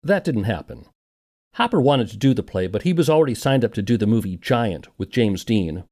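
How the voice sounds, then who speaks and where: A man's voice: lowish voice, expressive, fairly quick, darkish tone. A man narrating a scripted documentary podcast as its host and interviewer.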